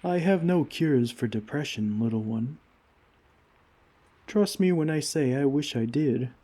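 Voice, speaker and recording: Man playing a slow, soft-toned gentle giant. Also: medium pitch, lively intonation, husky. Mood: warm, reassuring. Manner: formal, courteous, tender, old-fashioned wording. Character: gentle, kindly giant, caring friend to someone tiny. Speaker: roleplay audio performer. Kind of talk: ASMR comfort roleplay, scripted.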